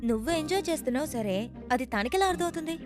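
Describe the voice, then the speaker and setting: A woman's voice: high-pitched, lively intonation, rapid-fire. A woman acting a sassy, scolding young female friend in film dialogue. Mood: curious, teasing. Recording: film dialogue with music behind. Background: music.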